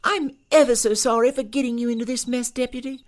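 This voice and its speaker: A man playing a polite, naive city-slicker gentleman fish in a cartoon Western, with prim, formal, wordy speech. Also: extremely high pitch, fairly even intonation, brisk. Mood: apologetic. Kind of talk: audio drama acting, cartoon Western.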